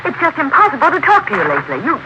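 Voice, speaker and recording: An actress playing a distressed, accusing wife in a melodramatic 1940s radio style. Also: female voice, high voice, wildly swooping pitch, very fast, muffled recording. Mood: bitter, accusing. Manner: melodramatic, reproachful. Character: distressed, accusing wife of a hot-tempered man. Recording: noisy old radio drama recording.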